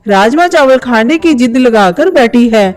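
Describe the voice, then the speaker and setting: A woman's voice: on the high side, lively intonation, measured pace, a little mellow. A woman playing a caring, practical mother bird in a children's cartoon, with a motherly way of talking. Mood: scolding. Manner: motherly, reassuring.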